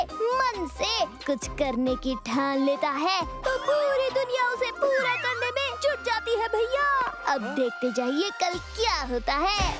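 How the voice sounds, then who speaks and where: A very high, squeaky little boy for a children's cartoon. He is plucky and scheming, stressing his words with cheeky confidence. Loud music plays behind the voice. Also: heavily acted. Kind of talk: Hindi children's cartoon dubbing.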